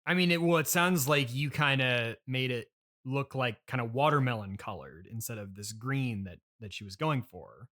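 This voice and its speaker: Halting man hosting a comedy podcast. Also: medium pitch, expressive, fairly bright tone. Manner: halting, awkwardly polite, stammering. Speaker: podcast host and interviewer. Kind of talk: improvised comedy interview.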